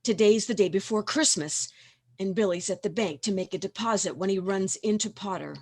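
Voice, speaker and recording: A woman playing a knowing heavenly narrator in a radio play, telling the story in a measured way. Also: mid-range voice, a little mellow, lightly acted. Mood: solemn storytelling. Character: knowing heavenly senior angel narrating to Clarence. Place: home, over video call.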